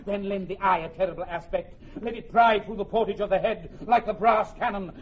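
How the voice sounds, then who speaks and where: A man's voice: sits high, breathy edge. An actor playing a heroic, commanding young English warrior king, declaiming in a rousing Shakespearean radio drama style. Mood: intense, measured. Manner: declamatory, rousing, drawn-out emphatic phrases. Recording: muffled old radio recording.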